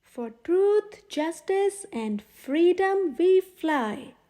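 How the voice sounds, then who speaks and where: Woman as old pig colonel, storybook hero, gruff, grumbly, commanding, high-pitched, unhurried. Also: lively intonation, warm tone, heavily acted. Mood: rallying. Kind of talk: children's storybook read-aloud.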